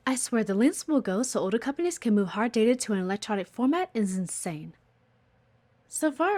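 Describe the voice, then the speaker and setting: A voice actress playing a sharp, demanding female office executive in an audio roleplay, teasing and condescending, with a theatrical, flirty delivery. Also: high voice. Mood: wry, businesslike. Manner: teasing, haughty, theatrical, sighing. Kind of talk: intimate audio roleplay acting.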